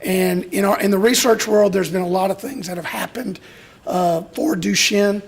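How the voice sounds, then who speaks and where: A hoarse middle-aged man from Alabama, giving a heartfelt, emotional speech to an audience as a father. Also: sits high, moderate pace. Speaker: speaker at a youth graduation, father of a boy with Duchenne.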